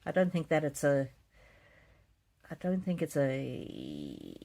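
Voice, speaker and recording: A woman hosting a live psychic card reading, chatting casually and reading out comments in a gentle, musing, hesitant way with soft repetitions. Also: a bass voice, huge pitch swings, slow pace, creaky.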